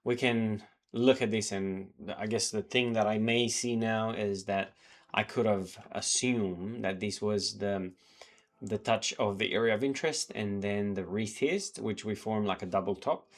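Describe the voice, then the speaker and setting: A man's voice: lowish voice, measured pace. A man slightly sick, talking casually through his trading journal and charts, rambling with many fillers and restarts. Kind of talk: casual talk to camera, reviewing charts. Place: home in New Zealand.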